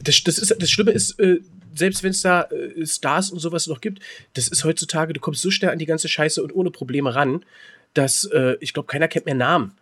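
A middle-aged man co-hosting a casual podcast, talking in a rambling, jokey, excitable way. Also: a little high, normal speed, breathy edge. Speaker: podcast co-host.

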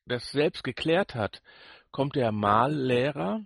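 A man with a medium-pitched voice chats as a podcast co-host. He speaks casually and haltingly, doubling back on words, in a dry sound with no room echo. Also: lively intonation, vocal fry, fairly bright tone. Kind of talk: casual chat reviewing an audio drama.

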